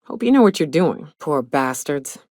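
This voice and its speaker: An actress playing a gruff, hard-drinking, gun-toting female spaceship crew companion in a video game, with a blunt, folksy manner. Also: sits low, big pitch swings, normal speed, darkish tone, dry recording, studio quality. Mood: sardonic, blunt. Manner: blunt, folksy, sardonic, swearing. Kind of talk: video game character dialogue.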